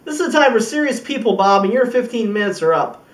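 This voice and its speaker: A high-pitched male voice delivers a resolute presidential speech as an amateur actor's monologue. He is emphatic and indignant, pausing deliberately for weight. The sound is roomy and distant from the microphone. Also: sing-song. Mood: defiant. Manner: emphatic oratory with deliberate pauses and rhetorical questions. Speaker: amateur acting student. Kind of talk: rehearsed film monologue as a political speech.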